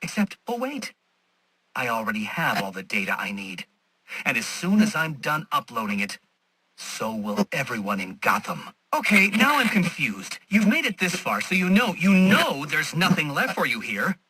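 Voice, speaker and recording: Video game villain: superior, taunting intellectual, piercingly bright edge, highly animated delivery, sarcastic and pompous. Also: male voice, normal speed, breathy edge, dry recording, full character voice. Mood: gloating, then incredulous, irritated. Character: smug, condescending male genius villain, taunting and superior.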